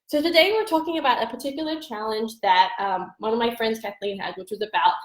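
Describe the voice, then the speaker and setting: A congested woman with a stuffy nose talks on a live stream, upbeat and chatty as she gives food tips. Her voice sits a bit high, and the room around her rings with echo. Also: quick pace. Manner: upbeat, chatty, greets viewers by name. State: congested from allergies. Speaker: nutrition coach hosting a live stream. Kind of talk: casual live-stream advice. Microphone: phone or webcam for a live stream.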